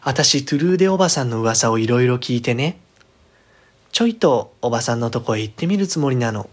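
A man's voice: medium pitch, expressive, fairly quick, natural voice. A man voicing a headstrong, cheeky young girl who spooks easily, with girlish, dramatic phrasing in a horror storybook reading. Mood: cheeky, eager.

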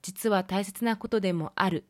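A woman presents a scripted film review with a medium-pitched voice. Her delivery is measured and polite, explaining each point in turn. The sound is dry, with no room echo. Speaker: film review podcast host.

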